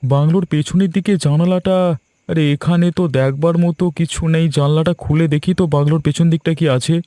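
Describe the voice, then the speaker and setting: Man playing a high-pitched, bold young man. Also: steady tone, brisk, dark tone, natural voice. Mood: scoffing. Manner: eager, scoffing. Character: bold, adventurous young man, dismissive of ghosts. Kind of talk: animated horror story acting.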